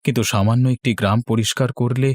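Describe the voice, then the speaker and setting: A man's voice: medium pitch, fairly even intonation, fast talker, dry recording, lightly acted. A man playing a devout, anxious king and father in a fairy-tale cartoon, with a theatrical, deferential and pleading manner. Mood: worried, pleading.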